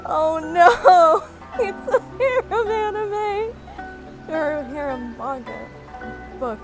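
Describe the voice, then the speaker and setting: A woman streamer reacts casually to a video game in a very high, giggly voice. Her pitch swings a lot and she takes her time, with loud music behind her. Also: slow, low-quality recording. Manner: giggly, expressive. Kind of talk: casual gameplay commentary.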